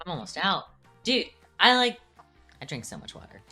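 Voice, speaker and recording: A woman's voice: fairly low pitch, wildly swooping pitch, normal speed, pushed and bright, breathy edge, faint music behind. A woman co-hosting a livestream, chatting casually and laid-back while her sibling animates, with many "like" fillers. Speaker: streamer co-host.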